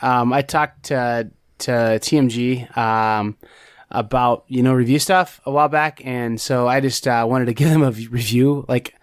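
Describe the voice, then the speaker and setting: Man, mid pitch, casual rambling podcast talk, dry close recording. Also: steady tone, unhurried, clear, resonant tone, dry recording. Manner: rambling, many restarts and fillers. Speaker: podcast co-host. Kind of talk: casual chat.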